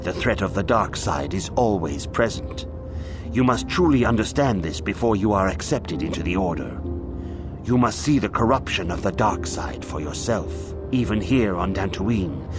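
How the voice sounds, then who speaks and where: A solemn Jedi mentor speaks in a low, deep voice. He is measured and formal, a grave teacher from a video game, with prominent music behind him. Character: solemn Jedi master instructing a young apprentice. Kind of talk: scripted game dialogue, solemn instruction.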